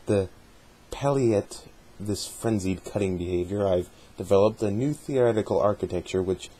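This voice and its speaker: A man giving an amateur dramatic reading of a journal in a measured, deliberate way, with a husky voice. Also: low voice, dark tone, rough recording. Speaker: amateur reader hosting a dramatic reading series.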